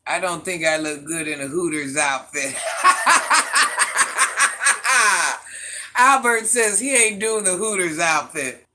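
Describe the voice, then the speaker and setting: A woman's voice: on the low side, big pitch swings, takes it slowly, very noisy. A woman hosting a casual livestream, reading viewer comments aloud and teasing them by name with a laugh. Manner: calls herself Granny.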